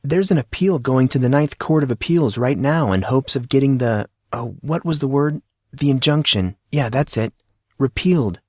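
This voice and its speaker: A man's voice: medium pitch, lively intonation, normal speed, darkish tone, dry recording, studio quality, voice pushed up, lightly acted. A man voicing a chatty, well-informed teenage schoolboy in an audiobook, explaining things casually and eagerly. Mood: serious.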